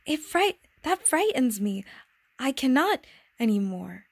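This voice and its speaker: A woman voicing a timid, earnest and curious little boy prince, speaking softly, hesitantly and politely. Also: sits high, expressive, moderate pace, pitched above the performer's own voice. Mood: embarrassed, abashed.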